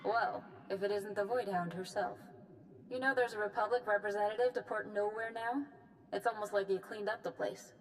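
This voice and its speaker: An actress voicing a tired female ex-smuggler pilot in a video game, pitching a heist in a brisk, businesslike way. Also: fairly even intonation, slight background noise, close to an everyday voice, low-quality recording. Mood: scheming.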